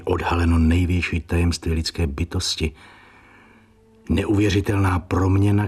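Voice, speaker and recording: A man's voice: low voice, darkish tone, breathy edge. An actor playing a wry, curious male writer who mutters and muses to himself in a radio drama. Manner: muttering, musing, reading in fragments with asides. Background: faint music.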